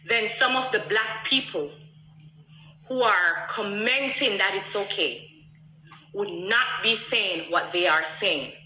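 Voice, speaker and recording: A woman's voice: fairly high pitch, sharp tone, reverberant, low-quality recording. A woman from Jamaica with a Jamaican accent, speaking straight to a phone camera and pressing her critics with emphatic rhetorical questions. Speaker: artist answering critics. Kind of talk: direct-to-camera monologue.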